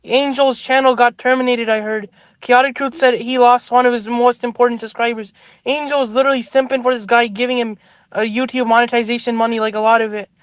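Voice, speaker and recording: A man's voice: very high voice, flat delivery, brisk, smooth, no echo, poor audio. A man talking as a guest on a casual talk show, arguing his points earnestly and at length.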